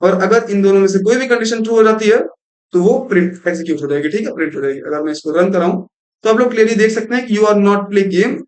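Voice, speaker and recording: Man, high voice, young adult, coding tutor, step-by-step explaining. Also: quick pace, low-quality recording. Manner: explanatory, repeats "thik hai" and mixes in English terms. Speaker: programming tutor. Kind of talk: screen-recorded coding lesson.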